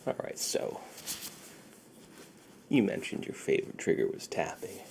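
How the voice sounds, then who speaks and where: A man playing a friendly, secretive underground ASMR dealer in a soft, breathy, half-whispered roleplay voice. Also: a little hiss or hum, close to an everyday voice. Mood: hushed, reassuring. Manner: slow, hushed, conversational.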